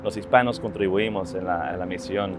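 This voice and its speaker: Ecuador-born man in his thirties, low-pitched and sincere, restarts his phrases, clear music bed underneath. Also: fairly even intonation, moderate pace. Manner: earnest, with frequent restarts. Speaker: social media strategist. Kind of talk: reflective personal interview.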